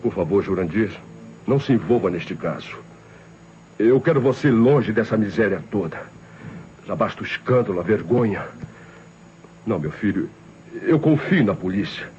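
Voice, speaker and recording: An actor playing an anguished but dignified middle-aged Brazilian father accused of murder, earnest and halting, in an old radio drama. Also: on the low side, expressive, darkish tone, natural voice. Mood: pleading. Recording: muffled, noisy old radio recording.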